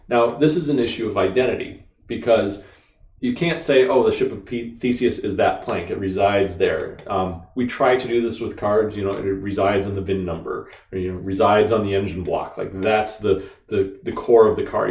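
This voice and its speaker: American male co-host, rambling explainer, lower register, distant mic. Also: darkish tone. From United States. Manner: explains ideas at length, frequent "like" and "you know". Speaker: podcast co-host. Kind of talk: casual discussion. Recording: dull, echoey room, off-mic.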